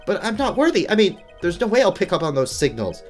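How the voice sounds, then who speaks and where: A man's voice: sits high, big pitch swings, fast, faint music behind, pitched above the performer's own voice. A man voicing a nervous, earnest young defense lawyer in a video game, stammering and exclaiming. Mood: flustered disbelief. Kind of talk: video game dialogue read aloud.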